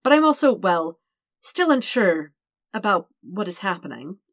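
A woman's voice: mid-range voice, big pitch swings, a little slow, no room sound, studio quality. Woman voicing a flustered, dramatic, wordy man. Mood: nervous rambling. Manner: rambling, indignant, wordy formal phrasing.

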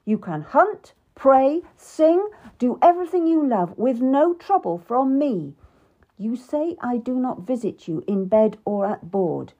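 A woman voicing an impatient, domineering middle-aged king who speaks loudly and curtly. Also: medium pitch, big pitch swings, slow pace, highs cut off, exaggerated voice. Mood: angry. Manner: loud, curt and scolding. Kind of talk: audiobook character reading.

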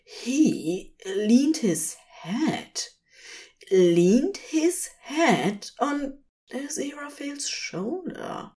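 A woman narrating a scripted story expressively, as a fiction reader. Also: high voice. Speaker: podfic reader.

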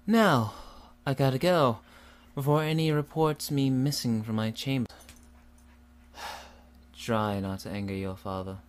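A man's voice: medium pitch, unhurried, close to an everyday voice. A man playing a bold, loyal and ambitious young apprentice healer in a fantasy audio drama, speaking with earnest expression. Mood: cautious.

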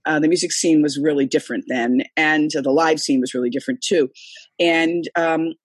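Older woman, husky voice, dry close sound, chatty rambling interview guest. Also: deep voice, fairly even intonation, moderate pace, no echo. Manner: chatty, rambling storyteller with many fillers. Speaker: singer and guest. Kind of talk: casual interview conversation. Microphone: remote call, computer.

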